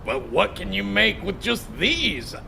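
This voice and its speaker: Casting: eager, sincere young game soldier, gravelly and animated, music underneath. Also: male voice, high-pitched, measured pace, a little hiss or hum, exaggerated voice. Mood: curious, eager. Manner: expressive, sincere. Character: eager, loyal young male soldier, hot-hearted sidekick. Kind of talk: video game cutscene dialogue. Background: background music.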